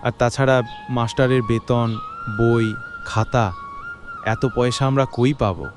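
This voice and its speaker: A man's voice: mid-range voice, fairly even intonation, unhurried, over music, lightly acted. A man playing a practical, doubtful poor villager in a cartoon, hesitant and respectful. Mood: doubtful, worried. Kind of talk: acted cartoon dialogue.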